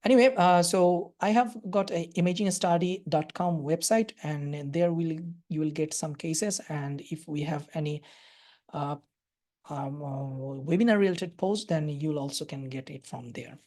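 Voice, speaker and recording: A woman with a Bangladeshi accent explains things through a computer mic on a live webinar. Her voice sits a little low and sounds mellow and soft, and she pauses often with fillers as she works through each answer. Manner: hesitant, many fillers. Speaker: radiology lecturer.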